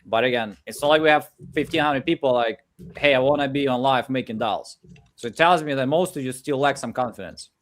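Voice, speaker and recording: A man with a Ukrainian accent gives an energetic live coaching pep talk over a video call, peppering his English with fillers. His voice sits at an ordinary middle pitch. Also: fairly quick. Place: home office in Ukraine.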